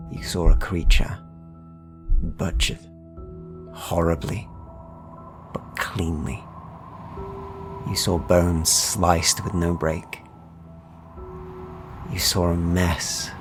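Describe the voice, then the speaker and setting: A man narrating a dark fantasy game as game master, in a dramatic, deliberate, suspenseful style addressed to the players. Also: sits low. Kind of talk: atmospheric second-person fantasy narration.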